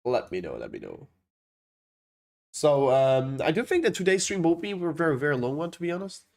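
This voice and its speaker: A man's voice: on the high side, wildly swooping pitch, fairly quick, vocal fry, a little mellow. A young man from the Netherlands with a Dutch accent, hosting a casual crypto livestream and rambling through viewer questions with many fillers.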